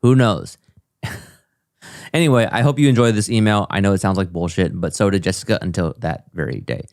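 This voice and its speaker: A man's voice: low-pitched, brisk, darkish tone, no echo. A man co-hosting a comedy podcast, reading a listener's email aloud in a dry, matter-of-fact way with joking asides. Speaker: co-host.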